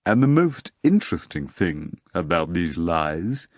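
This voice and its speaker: A man voicing an imperious devil figure who speaks in a cold, dismissive, measured way. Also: deep voice, sing-song, dry recording, studio quality. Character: imperious male devil master, dismissive and grave.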